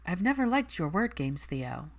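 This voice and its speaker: A woman's voice: on the low side, big pitch swings, moderate pace. A woman voicing an earnest, strong-willed young witch with a firm, argumentative manner in audiobook dialogue. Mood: defensive.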